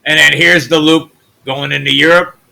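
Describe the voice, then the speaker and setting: A man from the US Midwest with a naturally deep voice, hosting a channel and talking loosely through his screen, trailing off into mutters. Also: little pitch movement, moderate pace, gravelly, bright, forceful tone, rough recording. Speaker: channel host. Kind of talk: rambling screen-share commentary.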